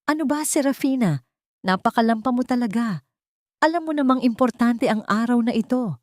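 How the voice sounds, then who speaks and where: A woman's voice: fairly high pitch, animated, normal speed, no echo, clean studio sound, pitched above the performer's own voice, lightly acted. A woman narrator voicing a manipulative, irritable husband who coaxes and reproaches, mixing Tagalog and English. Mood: irritated, scolding. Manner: coaxing but reproachful, code-switching Tagalog and English. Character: manipulative, irritable husband sweet-talking his wife.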